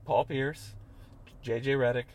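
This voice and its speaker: A man's voice: medium pitch, steady tone, measured pace, a little mellow. A man co-hosting a casual sports podcast, hesitant, often stammering and restarting his sentences. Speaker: podcast co-host.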